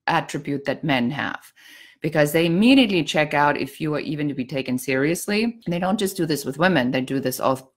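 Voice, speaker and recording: A middle-aged woman from Germany with a German accent, giving blunt, outspoken business advice in a casual talk with dry sarcasm. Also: sits low. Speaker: business coach.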